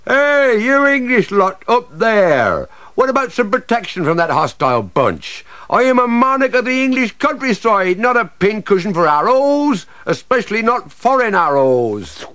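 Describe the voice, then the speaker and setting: A man's voice: mid-range voice, very animated, slow pace, no echo, full character voice. A pompous old English oak tree grumbles and blusters, as a man puts him on, his voice leaping wildly between lofty pronouncements and panicked yelps. The storybook character sounds close and dry, with no room around him. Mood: indignant.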